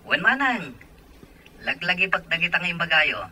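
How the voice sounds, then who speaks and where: An actor playing a happy, respectful younger brother newly in love, in an Ilocano radio comedy drama. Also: male voice, high-pitched, expressive, moderate pace, bright, forceful tone, no echo, exaggerated voice. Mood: happy, eager.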